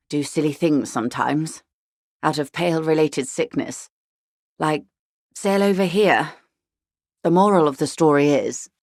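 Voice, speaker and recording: An actress playing a wry, genteel, world-weary middle-aged woman of wealth in a video game, with measured, refined and dryly ironic speech. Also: low voice, dry recording, clean studio sound. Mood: wistful, self-deprecating. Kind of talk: scripted game dialogue voice acting.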